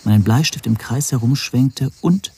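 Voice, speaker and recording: An actor playing a wry, scheming amateur sleuth who narrates his own story in a measured, literary style. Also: medium pitch, warm tone, breathy edge. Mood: plotting, wry.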